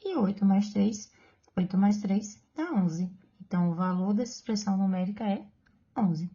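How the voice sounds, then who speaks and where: A woman with a Brazilian Portuguese accent explains exam math one step at a time, pausing to check in with the viewer. Her voice is dark and mellow with a gravelly edge. The recording sounds dull and lo-fi. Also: mid-range voice, expressive. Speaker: math teacher.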